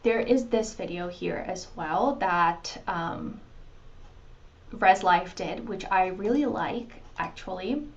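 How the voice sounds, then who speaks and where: A woman presenting to new international students in a casual, conversational way, pausing with ums and restarting her sentences. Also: on the low side, lively intonation, highs cut off.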